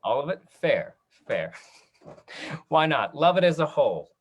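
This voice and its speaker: A man's voice: fairly high pitch, big pitch swings, measured pace, breathy edge. A man moderating a casual discussion in an encouraging, affirming way.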